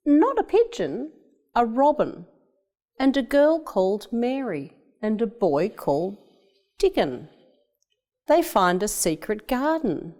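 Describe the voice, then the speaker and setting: A woman's voice: a little high, very animated, slow, dark tone, pitched above the performer's own voice. A woman voicing an eager, hopeful young boy with an Australian accent in a children's storybook. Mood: excited.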